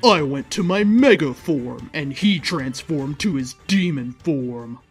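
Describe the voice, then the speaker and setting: A man's voice: fairly high pitch, expressive, slow, breathy edge. A man reading a story aloud in a theatrical, mock-serious way, like a host performing fan fiction. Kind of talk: dramatic comedic story reading. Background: music.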